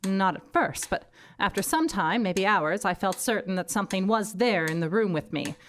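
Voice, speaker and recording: A woman's voice: medium pitch, animated, natural voice. Woman acting a haunted, theatrical female investigator, noisy recording. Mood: haunted, fearful. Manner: gothic, theatrical, deliberate. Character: woman investigator haunted by a coastal curse, intense, frightened. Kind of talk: scripted dramatic narration on stage. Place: small theatre stage.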